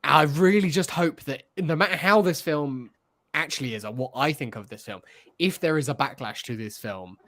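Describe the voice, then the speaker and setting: A man's voice: on the high side, moderate pace, a bit bright. A man co-hosting a podcast, talking in a casual, informal way like a chatty fan. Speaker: podcast co-host. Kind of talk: casual chat.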